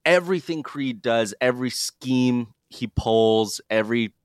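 A man co-hosting a podcast in casual chat, halting and joking as he goes. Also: mid-range voice, clear, resonant tone, dry recording, studio quality. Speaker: podcast co-host.

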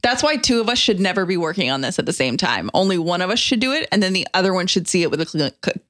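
A woman's voice: lowish voice, very animated, quick pace, a bit bright. Casual, self-deprecating woman co-host. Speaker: podcast co-host. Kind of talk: casual conversational podcast chat.

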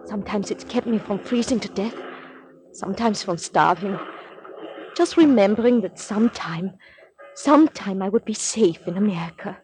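An actress playing an anxious young Norwegian refugee in a 1940s radio drama, speaking haltingly and formally in an earnest way. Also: female voice, medium pitch, darkish tone, natural voice. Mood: pleading, desperate. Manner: halting, repeats words, earnest, formal phrasing. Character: anxious young Norwegian refugee woman, stateless, pleading, proper. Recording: noisy 1940s radio recording.

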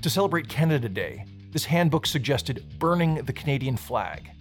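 A man's voice: mid-range voice, big pitch swings, normal speed, soft background music. A man hosting a podcast, narrating a scripted documentary story in a conversational way.

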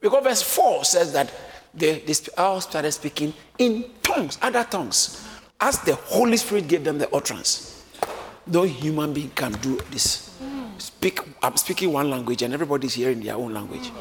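A man preaching a sermon, lively and often acting out the voices of a crowd, joking with his congregation. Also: fairly high pitch, normal speed. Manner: animated, acts out crowd voices, jokes with congregation. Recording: echoey hall with faint room noise.

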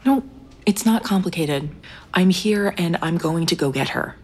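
An actress playing a determined expert cave diver in an audio drama, direct and firm, with a probing way of talking. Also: female voice, lowish voice, animated, unhurried, a little mellow, husky. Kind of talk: naturalistic audio drama acting.

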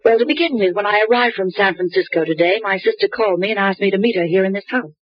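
A nervous young woman pleads for help in a breathy voice, as a woman acts her out. The old radio recording is dry and dull. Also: mid-range voice, quick pace, no echo, lightly acted. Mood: anxious. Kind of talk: 1950s radio drama acting.

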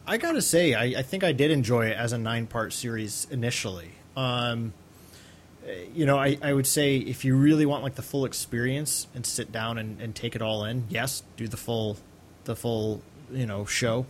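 A man's voice: mid-range voice, normal speed, slight background noise. A man co-hosting a podcast in casual, rambling, hesitant chat. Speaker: podcast co-host.

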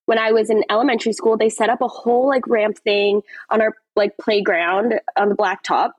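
A woman's voice: mid-range voice, steady tone, brisk, dry recording. A young woman, an actress guest on a podcast, chatting and telling stories with frequent laughs and lots of "like". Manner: chatty storyteller, laughs often, fillers like "like". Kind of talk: casual interview chat.

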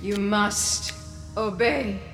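An actress voicing a woman possessed by a fungus hive mind, intoning in a slow, eerie chant. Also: medium pitch, fairly bright tone, faint music behind. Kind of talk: radio drama acting.

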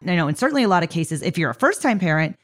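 Female podcast host, lower voice, conversational interviewer who explains and asks detailed questions. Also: sing-song, racing.

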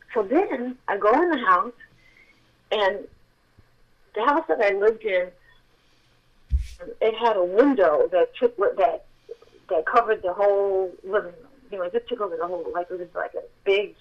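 A middle-aged woman with a childlike voice, telling her story as an interview guest and acting out the dialogue with sudden jumps in pitch. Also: highs cut off. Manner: excitable, reenacts dialogue with big pitch jumps.

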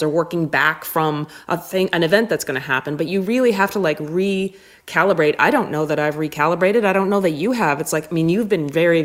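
Low-voiced woman in reflective chat. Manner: self-questioning, halting, thinks aloud. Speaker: guest, touring musician. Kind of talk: casual reflective podcast chat.

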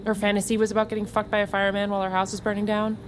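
An actress playing a breezy, quietly manipulative woman in a naturalistic audio drama, chatty, coy and insistent. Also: medium pitch, little pitch movement, rapid-fire, background noise, close to an everyday voice. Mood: probing, sly.

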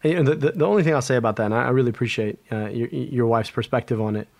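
A man's voice: mid-range voice, very fast, creaky, a little mellow, no echo. Man, epidemiologist guest, earnest, stumbling restarts, dry close sound. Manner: earnest, many restarts and repeated words. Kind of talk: conversational interview.

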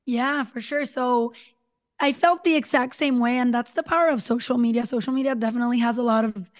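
Hoarse-voiced woman, 30s, kinda high pitched, rambling podcast guest vibe. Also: steady tone, fairly quick. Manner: chatty, lots of "you know" and "like". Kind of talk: personal storytelling in an interview.

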